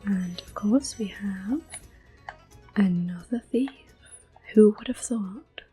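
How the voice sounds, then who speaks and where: A woman doing ASMR gameplay commentary in a soft, breathy, gentle voice, mostly whispered. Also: medium pitch, faint music behind. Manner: gentle, unhurried. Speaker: game streamer.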